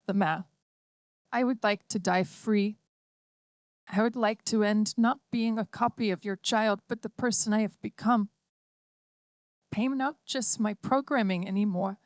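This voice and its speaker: A woman voicing a six-year-old android girl who speaks gently and earnestly, as an audiobook reader puts her on. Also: medium pitch, a little slow, pauses often, dry recording, lightly acted. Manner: gentle, pleading.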